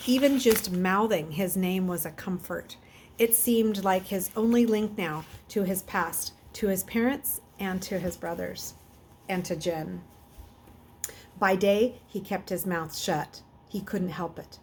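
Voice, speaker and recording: A woman reading a children's novel aloud in an expressive way, occasionally stumbling and correcting herself. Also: mid-range voice, measured pace, a little hiss or hum. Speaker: reader.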